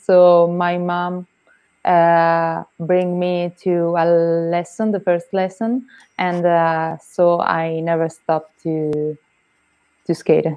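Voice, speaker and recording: A woman's voice: fairly low pitch, flat delivery, takes it slowly, darkish tone, clean tone. A woman in her twenties from Italy with an Italian accent, answering questions in English hesitantly, with many fillers and shy laughs, on a video call through earphones. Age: 20s. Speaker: guest athlete. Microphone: earphone mic on video call.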